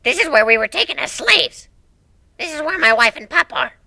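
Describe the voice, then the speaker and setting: A man's voice: very high-pitched, big pitch swings, moderate pace, creaky, bright, forceful tone, voice pushed up, exaggerated voice. A man voicing an earnest, anguished dog creature, a former slave turned strategist, in a dramatic story reading. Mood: pained.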